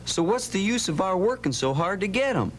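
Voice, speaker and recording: A man's voice: high-pitched, very animated, brisk. A man playing an eager, plain-spoken cowhand sidekick in an educational radio drama. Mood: eager, questioning. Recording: hum and faint background noise.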